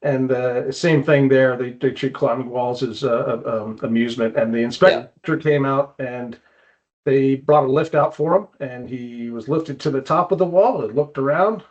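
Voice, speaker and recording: Male podcast host, medium pitch, halting casual explainer who repeats words, slightly lo-fi recording. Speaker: host, climbing wall builder.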